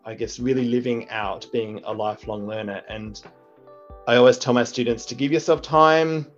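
A man's voice: mid-range voice, steady tone, moderate pace. A middle-aged man, a teacher and deputy principal, giving earnest, reflective interview answers with frequent restarts. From Australia. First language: English. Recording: muffled lo-fi audio with faint music.

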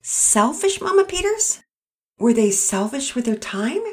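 A patient, soft-spoken storybook father who asks mild, careful questions, as a woman reading aloud puts him on. His voice is gentle and a little higher than the reader's own. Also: sing-song, measured pace.

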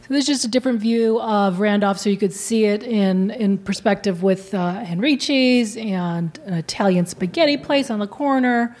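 A woman's voice: medium pitch, normal speed, few pauses, a little mellow. A woman co-hosting a casual chat, skeptical and chatty, reading aloud from an old column. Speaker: co-host.

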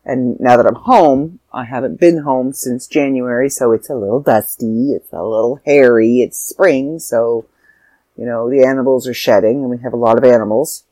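A woman's voice: rumbling low, moderate pace, mellow, dry recording, rough recording. Stuffy, tired woman rambling to camera. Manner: rambling, self-interrupting. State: stuffy, slightly sore throat. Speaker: vlogger. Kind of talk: casual chat to camera. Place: at home.

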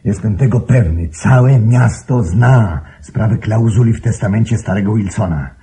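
An actor playing a hot-headed, defiant intruder in a radio play, protective and accusing, with an agitated, urgent delivery. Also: male voice, lowish voice, moderate pace, dark tone, natural voice. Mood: accusing, bitter. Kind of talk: Polish radio play acting.

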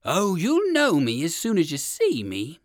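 A woman voicing a sly, hungry big bear in a children's storybook, coaxing with quiet menace. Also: low-pitched, huge pitch swings, no room sound, studio quality, heavily acted. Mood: wheedling. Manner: slow, coaxing, menacing salesman.

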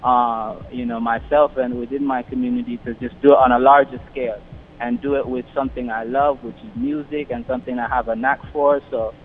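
A man's voice: mid-range voice, brisk. A young man who grew up in London and the Caribbean, a radio guest talking over the phone with many fillers and restarts. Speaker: radio guest, community organization founder. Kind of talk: casual interview over the phone. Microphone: phone line. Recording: tinny phone line with faint noise.

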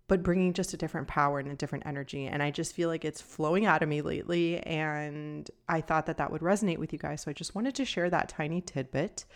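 A young adult woman hosting her own podcast, talking in a calm, somewhat monotone and deeper voice. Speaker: podcast host and nutrition coach. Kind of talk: casual solo podcast talk.

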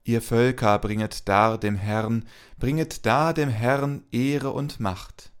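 A man's voice: medium pitch, fairly even intonation, slow pace, clean tone. A man reading psalm verses and prayers as co-leader of a church service.

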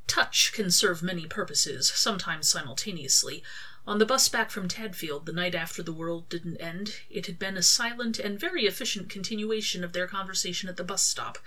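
Bright-voiced woman reading a story. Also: fairly low pitch, normal speed. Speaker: author reading own fan fiction. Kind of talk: scripted story narration with acted dialogue.

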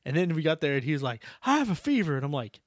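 A man's voice: on the high side, sing-song, quick pace, no echo, clean studio sound. A man in his thirties co-hosting a podcast, joking and teasing his partner with frequent laughter. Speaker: podcast co-host. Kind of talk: casual chat.

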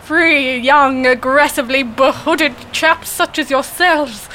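A jolly, pompous older British gentleman in a sketch comedy monologue. The voice is high and very forceful, with slow, theatrical bluster and posh exclamations. He is blissfully oblivious and full of old-school sportsmaster zeal. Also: slight background noise, heavily acted. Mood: jovial bluster.

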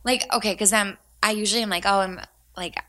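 A woman's voice: medium pitch, steady tone, quick pace, sharp tone. A woman, chatting casually with lots of fillers as a podcast co-host. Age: 30s. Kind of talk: casual chat.

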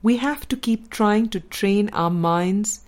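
A woman narrating a spiritual dialogue book as a scripted audiobook reading, with measured, deliberate phrasing and pauses. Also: medium pitch, a little mellow. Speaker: audiobook reader.

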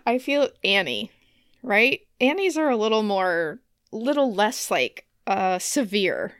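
A woman chats casually as a podcast co-host, playful and giggly. Her pitch moves around a lot while her pace stays slow, and the recording is close and dry. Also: animated, a bit bright, clear, resonant tone, no room sound. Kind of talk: casual chat.

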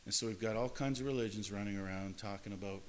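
A man's voice: on the low side, fast talker, slight background noise. A man preaching a sermon in an earnest way, often repeating and restarting his words. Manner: earnest, repetitive phrasing with frequent restarts.

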